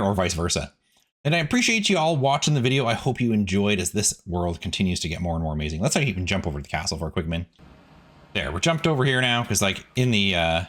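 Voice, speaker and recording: A man's voice: sits low, a bit bright. A man giving casual, chatty gameplay commentary, rambling in asides to viewers as a video host.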